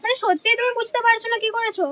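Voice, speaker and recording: Very high-voiced young woman, speaking fast. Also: steady tone, sharp tone. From West Bengal, India. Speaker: prank caller, vlogger. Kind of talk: casual prank phone call.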